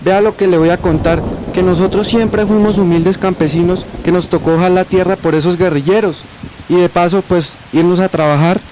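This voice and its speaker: Humble, reflective old Colombian grandfather man acting, rural turns of phrase, notably high pitch, radio drama, faint storm behind. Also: fairly even intonation, moderate pace, noisy background, close to an everyday voice. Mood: scolding, reflective. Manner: folksy rural speech.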